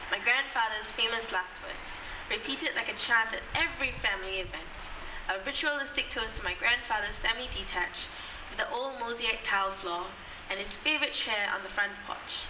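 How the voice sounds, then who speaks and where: A woman's voice: fairly high pitch, fairly quick. A young woman from Singapore reciting spoken word poetry with a dry, wry delivery. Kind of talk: poetry performance. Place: outdoor courtyard. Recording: echoey, distant, noisy outdoor recording.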